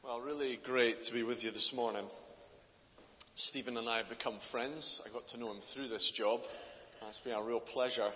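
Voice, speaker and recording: Male guest preacher, loud and earnest, muffled sound in an echoing hall. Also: medium pitch, a little hiss or hum. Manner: loud, earnest, builds in force when reading. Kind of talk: church talk and scripture reading. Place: church hall. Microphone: handheld mic. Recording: dull distant hall recording.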